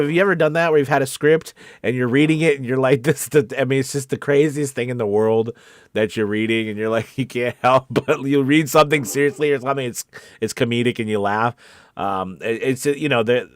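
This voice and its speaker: A man's voice: mid-range voice, no room sound, clean studio sound. A man chatting casually and encouragingly as the host of a voice acting livestream, in an earnest, conversational, rambling way. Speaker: voice actor hosting a coffee-time livestream.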